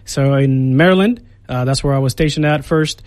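A man's voice: mid-range voice, moderate pace, a little mellow, dry recording. A man from Puerto Rico in his thirties, an Air Force recruiter, talking casually in a radio studio interview with many fillers and restarts.

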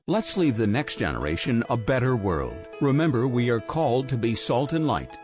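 A man's voice: medium pitch, big pitch swings, moderate pace. A man giving a radio station identification in a polished announcer read. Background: music.

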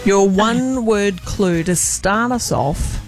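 A woman co-hosting a radio game, teasing and lively. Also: mid-range voice, slow, over music. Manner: lively, teasing, laughs easily. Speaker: radio co-host. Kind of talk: breakfast radio banter.

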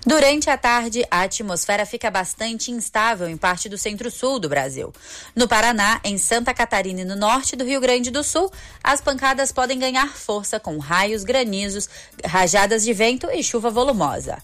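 A woman's voice: fairly high pitch, normal speed. Brazilian woman reading the weather. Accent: Brazilian Portuguese. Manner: steady, even newsreader delivery. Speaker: weather reporter. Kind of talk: scripted weather forecast.

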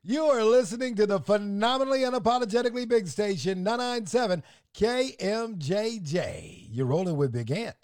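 A man delivers a punchy, hyped station ID. His voice sits high. The recording is dry. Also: expressive, moderate pace, darkish tone, no echo. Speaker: radio station imaging voice.

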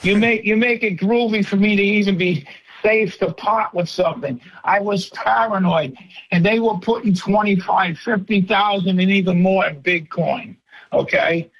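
A man in his seventies calling into a radio show, talking in a flattering, rambling, halting way over a phone line. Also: high-pitched, gravelly, dry recording. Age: 70s. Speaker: caller. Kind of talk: call-in radio chat.

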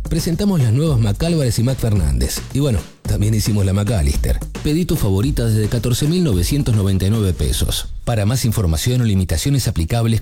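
A man's voice: mid-range voice, dark tone. A man with an Argentine accent reading a scripted food advert as announcer.

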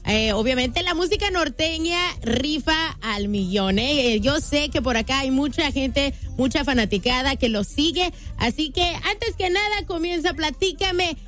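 Bubbly high-voiced woman radio DJ. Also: animated, a little slow, hardly any pauses, fairly bright tone, soft background music. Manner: bubbly, effusive, upbeat. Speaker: radio DJ host. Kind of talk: enthusiastic radio interview. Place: radio studio.

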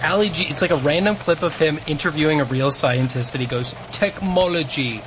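A man's voice: on the high side, a little fast. A man co-hosting a radio show, laughing easily and trading jokes. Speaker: radio co-host. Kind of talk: casual radio chat. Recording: lo-fi radio audio with faint noise.

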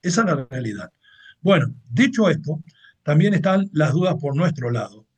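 A husky-voiced Mexican man runs a football talk segment, running through fixtures and adding his own opinions. His voice sits a little high and carries a slight rasp. His delivery is chatty and conversational rather than scripted. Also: big pitch swings, moderate pace. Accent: Mexican Spanish. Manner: chatty, opinionated, translating clips. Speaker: football show host. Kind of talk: casual sports commentary monologue.

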